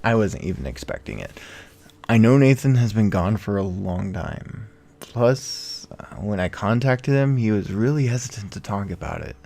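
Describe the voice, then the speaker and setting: A man's voice: lowish voice, creaky, warm tone. A man playing a weary, self-doubting investigative podcaster in a naturalistic audio drama, talking in a hesitant, conversational way. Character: male journalist podcaster, weary, anxious, self-doubting boyfriend.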